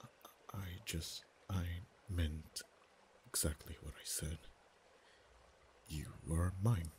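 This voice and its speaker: A man voicing a proud, haughty male dragon in an ASMR romance roleplay, speaking softly with whispered and stammering stretches. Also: rumbling low, fairly even intonation, slight background noise. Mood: tender. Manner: soft-spoken, partly whispered, halting stammers.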